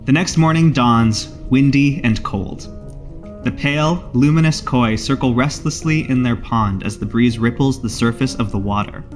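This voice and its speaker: Man, medium pitch, game master narration, podcast host, faint music underneath. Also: normal speed.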